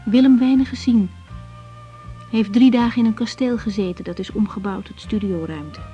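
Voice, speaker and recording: An actress playing a young woman doctor in a naturalistic radio drama, frank and reflective, speaking in a measured, intimate, sometimes hesitant way. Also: medium pitch, dark tone, lo-fi sound, soft background music, natural voice. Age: young adult. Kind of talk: naturalistic Dutch radio drama acting with diary monologue.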